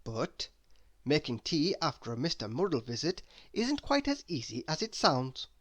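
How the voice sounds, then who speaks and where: Low-voiced, lively woman storyteller. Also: normal speed. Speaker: story reader. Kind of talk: expressive children's storybook narration.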